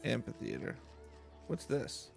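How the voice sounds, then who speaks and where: A man hosting a let's play, giving calm, casual commentary on a game. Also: mid-range voice, slow pace, creaky, faint music behind.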